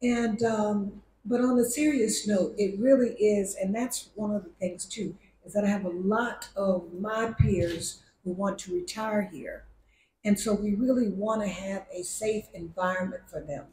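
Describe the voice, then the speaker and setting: A woman's voice: mid-range voice, steady tone, unhurried, mellow, echoey room, a little hiss or hum, low-quality recording. A woman in her seventies from Ghana, giving a chatty, joking speech at a signing ceremony, holding a handheld mic while seated at a table in a room lined with bookshelves. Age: 70s. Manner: chatty, joking, hesitant with fillers. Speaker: ambassador and forum founder.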